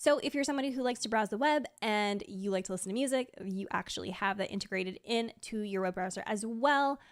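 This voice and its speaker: A woman with a mid-pitched voice talks as a video creator addressing an online audience. Her delivery is casual and chatty, like unscripted vlog talk. She phrases things conversationally, often slipping in "like". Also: a little fast. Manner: conversational, peppers talk with "like" and small laughs. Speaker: video creator and streamer presenting a sponsored ranking video.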